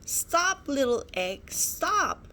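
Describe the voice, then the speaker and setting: Woman voicing a high, plucky little boy dinosaur. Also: very animated, extremely slow, a little hiss or hum, heavily acted. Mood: urgent, yelling. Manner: exclaiming, yelling. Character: small plucky young male dinosaur, easily flustered. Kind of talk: children's storybook character reading.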